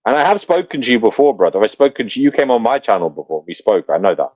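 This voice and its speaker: A man's voice: medium pitch, big pitch swings, fast, dry recording, lo-fi sound. A man hosting his own channel in casual livestream chat, blunt and prone to swearing, calling his guest brother. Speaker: channel host.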